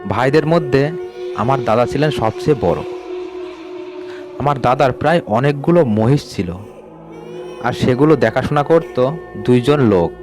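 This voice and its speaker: A man narrating a scripted ghost story in a measured way, sinking lower and quieter at the grim moments. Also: lively intonation, over music.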